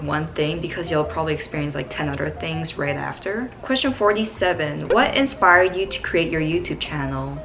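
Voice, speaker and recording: Chatty young Canadian woman, lowish voice. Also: fairly bright tone. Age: young adult. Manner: upbeat, chatty, many "like" fillers. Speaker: vlogger answering viewer questions. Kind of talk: casual Q&A vlog.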